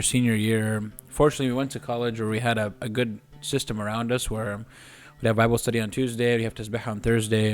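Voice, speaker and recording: A man in his twenties, a podcast guest and physical therapist, speaking earnestly and steadily. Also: mid-range voice. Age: 20s. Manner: earnest and steady, with occasional restarts. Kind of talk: casual chat.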